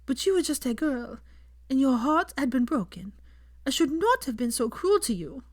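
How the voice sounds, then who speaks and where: A woman narrator acts out an earnest young married woman weighed down by guilt. The voice is high and very animated, pleading in formal, halting phrases, in a dry storybook reading. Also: dry recording. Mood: distraught, remorseful. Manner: halting, formal phrasing without contractions, breaks off mid-sentence.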